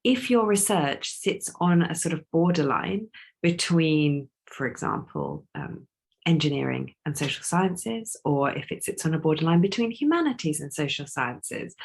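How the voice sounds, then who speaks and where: Woman, croaky with a cold, measured explanatory welcome talk. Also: low voice. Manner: measured, explanatory, with frequent ums and restarts. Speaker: researcher development coordinator.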